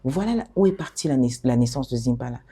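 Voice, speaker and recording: A middle-aged woman from the DR Congo, an interview guest who talks about her work in an animated, expressive way and often restarts her phrases. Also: rumbling low, quick pace, slightly rough. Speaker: guest, fashion designer and author. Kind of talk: conversational interview.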